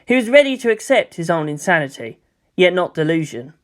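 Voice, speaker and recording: A man's voice: high voice, big pitch swings, brisk. A man reading scripted fiction aloud as a storyteller, in the style of an audiobook narrator.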